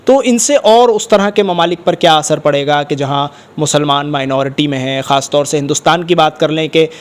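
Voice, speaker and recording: A man's voice: on the high side, brisk. A man conducting a news interview, putting long, probing questions to a guest.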